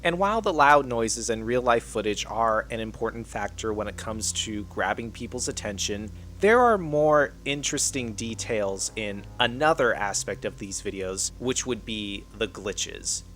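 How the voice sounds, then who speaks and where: A man's voice: lowish voice, expressive, moderate pace, fairly bright tone, slight background noise. A man reading a scripted video essay as the narrator of an online channel.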